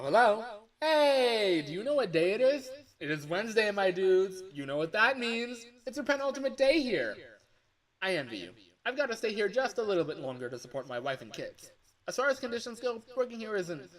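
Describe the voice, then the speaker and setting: Game role: chatty male coworker, acted by a man, higher and projected, upbeat yet jaded, folksy briefing delivery. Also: a bit bright. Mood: chipper greeting. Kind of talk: video game voice line recording.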